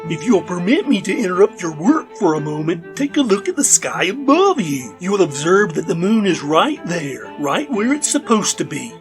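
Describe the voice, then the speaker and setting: Man putting on Tom, a high, drawn-out young man. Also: sing-song, soft background music. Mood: puzzled, reasoning. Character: Tom, sensible young man, bemused and polite.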